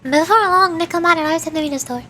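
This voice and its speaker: A woman's voice: very high-pitched, rapid-fire, pushed and bright. A woman streamer reading game text aloud as she plays. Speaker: streamer, virtual YouTuber. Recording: music behind the voice. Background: game music.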